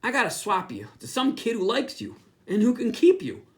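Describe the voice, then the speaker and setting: A man's voice: extremely high pitch, very animated, moderate pace, voice pushed up. A man voicing an eager, kind young boy who trades things, in a raised voice. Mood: wistful.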